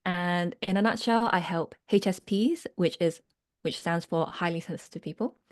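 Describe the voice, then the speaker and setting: A woman with a slightly low voice leads a video-call workshop for business owners. She speaks earnestly and reflectively, pausing to check in with her listeners. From United Kingdom. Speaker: workshop leader and business coach. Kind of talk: online workshop talk.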